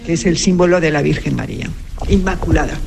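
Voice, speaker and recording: A woman's voice: sits low, fast. A woman, a cloistered nun, answering interview questions in a firm, plain-spoken manner. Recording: clear background noise.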